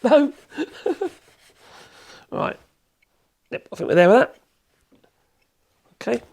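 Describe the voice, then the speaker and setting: A man with a cold, hoarse, chatting in a rambling way as he demonstrates in his workshop. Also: very high-pitched, sing-song, takes it slowly, a little mellow. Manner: rambling, chatty, self-correcting, chuckles. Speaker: woodworking channel host.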